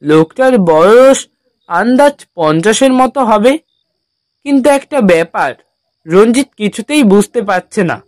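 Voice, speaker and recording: Slow, high-voiced male storyteller. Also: expressive, darkish tone, dry recording. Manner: measured, dramatic storytelling. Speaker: narrator. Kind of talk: scripted horror story narration for a cartoon.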